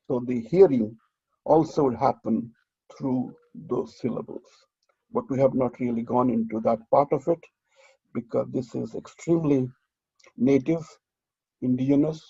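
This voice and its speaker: A man moderating an online seminar, lecturing earnestly with halting restarts over dull video call audio. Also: mid-range voice, vocal fry, dark tone, slightly rough, no echo.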